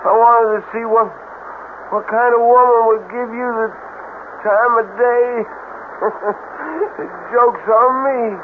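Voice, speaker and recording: High-pitched, gasping dying man. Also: little pitch movement, takes it slowly, pauses often. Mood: bitter, mocking. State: gasping, in pain. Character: dying, bitterly mocking backwoods young man. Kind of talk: 1970s radio drama acting. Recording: tinny old radio, faint noise.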